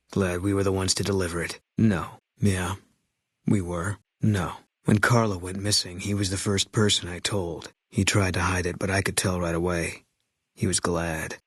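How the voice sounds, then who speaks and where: A deep, husky, grim sniper. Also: male voice, fairly bright tone, no room sound, clean studio sound. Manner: clipped, curt, blunt. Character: terse, bitter male ex-army sniper, hardened, grim. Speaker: companion character in a video game. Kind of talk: video game voice lines. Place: recording studio. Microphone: close studio microphone.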